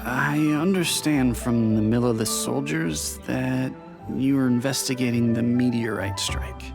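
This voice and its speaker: A man plays an earnest innkeeper in a video game. His mid-pitched voice is polite and formal, and music is clearly heard behind it. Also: unhurried, close to an everyday voice. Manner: courteous, formal.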